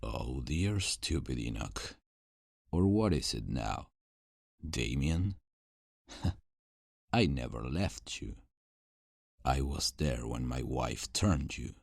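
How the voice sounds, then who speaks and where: Man acting a gravelly, drawling, smug vampire villain. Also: a bass voice, animated, takes it slowly, long pauses, dry recording, studio quality, exaggerated voice. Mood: smug, needling. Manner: drawling, theatrical, condescending. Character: smug, sadistic old male vampire, condescending rival. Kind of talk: ASMR roleplay acting.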